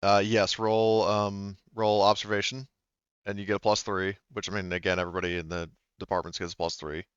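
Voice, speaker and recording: Rambling low-voiced game master. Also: male voice, little pitch movement, brisk, smooth, no room sound, highs cut off. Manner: rambling, many fillers and self-corrections. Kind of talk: running a tabletop roleplaying game.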